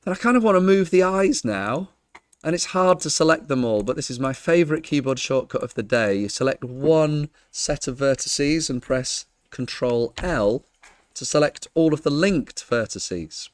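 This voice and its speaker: A man with a croaky, half-lost voice, talking through a screen-recorded modeling tutorial in a chatty, jokey way with frequent ums. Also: a little high, animated, normal speed, no room sound. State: croaky, losing his voice. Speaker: Blender tutor.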